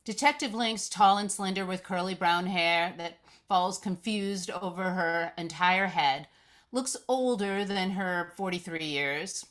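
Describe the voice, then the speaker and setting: A woman's voice: fairly low pitch, a little slow, fairly bright tone. A woman narrating the action of a screenplay at a live read, descriptive, occasionally stumbling over the text. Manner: descriptive, reading aloud with stumbles. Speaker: stage-direction narrator.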